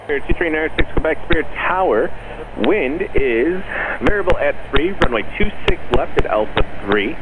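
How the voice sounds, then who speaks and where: A man working as an air traffic tower controller, giving clipped routine clearances over aviation radio. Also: fairly high pitch, expressive, moderate pace.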